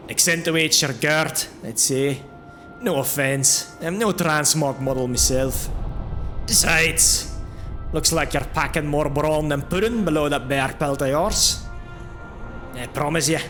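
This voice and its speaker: A man voicing a gruff, blunt but good-humored dwarf combat trainer in a fantasy audio drama, with a brash, teasing manner. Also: on the high side, fairly bright tone. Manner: brash, teasing, hearty exclamations.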